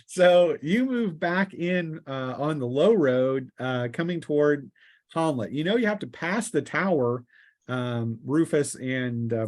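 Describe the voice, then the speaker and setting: A man narrating a tabletop fantasy game as game master, conversational and descriptive, often pausing mid-sentence as he improvises. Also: mid-range voice.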